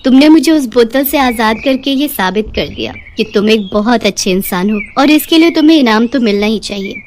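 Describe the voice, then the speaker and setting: A woman voicing a kind, grateful fairy in an animated moral story, speaking in an earnest, pleading and explanatory way. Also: on the high side, brisk, a little hiss or hum, natural voice. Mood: grateful, gracious.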